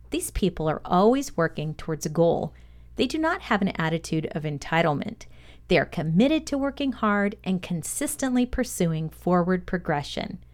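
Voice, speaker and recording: A woman presenting a scripted motivational podcast lesson, reading aloud in a measured, deliberate, instructional way. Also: on the low side.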